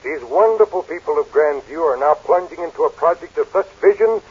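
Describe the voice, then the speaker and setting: An actor playing a stately, declamatory radio news commentator in a 1940s radio play. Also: male voice, on the high side, lively intonation, brisk, husky, highs cut off.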